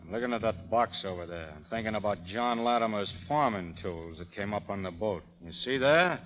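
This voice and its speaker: An actor playing a stoic, guarded gunfighter of the Old West in an old radio drama, laconic and understated. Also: male voice, fairly low pitch, expressive, moderate pace, slight background noise, close to an everyday voice. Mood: grim, controlled. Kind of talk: 1930s radio drama acting. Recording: muffled old radio recording.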